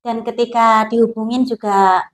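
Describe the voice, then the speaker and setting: A woman from East Java answering interview questions with short, plain replies and soft affirmations. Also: on the high side, flat delivery, normal speed, slight background noise, low-quality recording. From East Java, Indonesia. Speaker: guest, hypnosis alumna.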